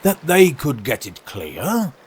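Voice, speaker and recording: A man voicing a pompous, unctuous, falsely sorrowful walrus in a storybook poem, with a slow, grandly drawn-out delivery. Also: on the high side, huge pitch swings, husky, voice pushed up, full character voice. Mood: pondering.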